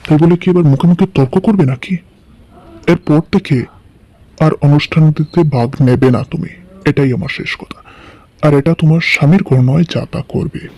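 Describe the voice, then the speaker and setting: A man playing a stern, scolding father in an audio story, with a husky voice. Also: fairly high pitch, moderate pace, warm tone.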